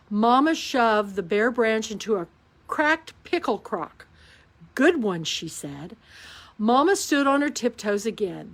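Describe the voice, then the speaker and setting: Storytime reader: woman, slightly high voice, unhurried and very expressive. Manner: slow, expressive read-aloud delivery. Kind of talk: reading a picture book aloud.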